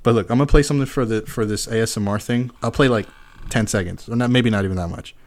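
A man's voice: lowish voice, fast. A man co-hosting a podcast in casual chat, rambling with restarts and frequent laughs. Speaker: podcast co-host.

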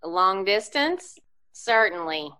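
Female telephone operator, played by an actress, radio drama; bright, crisp-edged tone, slow formal delivery. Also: medium pitch, very animated, full character voice. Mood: brisk formality. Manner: formal, measured. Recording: amateur video call recording.

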